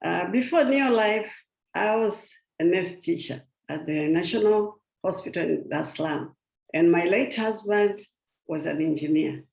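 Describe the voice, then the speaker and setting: A woman's voice: lowish voice, measured pace, creaky, a little mellow, echoey room, rough recording. An older woman from Tanzania telling her personal story earnestly as a guest speaker over a video call. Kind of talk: personal testimony talk.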